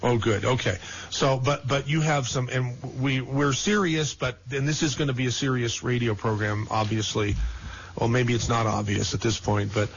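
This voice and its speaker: Hesitant radio show host, lo-fi broadcast. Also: male voice, mid-range voice, fairly even intonation, moderate pace, gravelly, husky. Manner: hesitant, many fillers and restarts. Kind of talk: casual radio talk. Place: radio studio. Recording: lo-fi radio broadcast with faint music.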